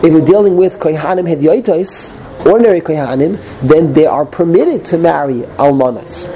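A man's voice: on the high side, normal speed, mellow, poor audio. A man with a cold teaching a casual Torah class, often restarting his phrases and mixing in Hebrew terms. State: has a cold.

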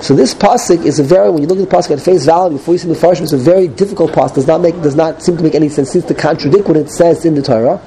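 Man teacher with a cold, mellow voice, slightly raised pitch, casual digressive class, faint room hiss. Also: very fast, hardly any pauses, low-quality recording. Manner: digressive, restarts phrases, mixes in Hebrew terms. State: has a cold.

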